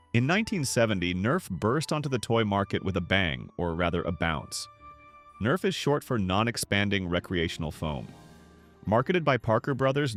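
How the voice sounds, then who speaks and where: A man with a fairly low voice delivers scripted narration in an earnest storytelling manner. His intonation swings up and down in an animated way, and music plays softly behind him. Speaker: narrator of a nostalgia video.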